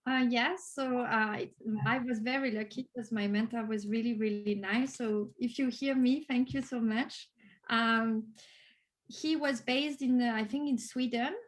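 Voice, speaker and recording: A woman's voice: on the high side, fairly even intonation, unhurried, rough recording. A woman from France with a French accent, a native French speaker, answering interview questions conversationally. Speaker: course graduate being interviewed.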